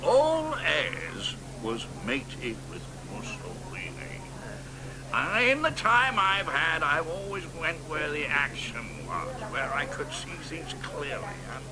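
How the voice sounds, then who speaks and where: An actor playing a boastful, crude old American poet in a radio drama, drawling and dropping into hoarse whispers. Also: male voice, a little high, very animated, slow pace, a crowd in the background, rough recording.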